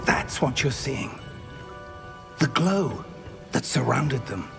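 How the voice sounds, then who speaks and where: Earnest wizard professor, measured, resolute, creaky edge, video game cutscene, score behind. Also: male voice, mid-range voice, lively intonation, music in the background. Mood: awed curiosity. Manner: measured, scholarly, dramatic. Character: learned, earnest older male wizard professor, curious and resolute. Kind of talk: dramatic video game acting.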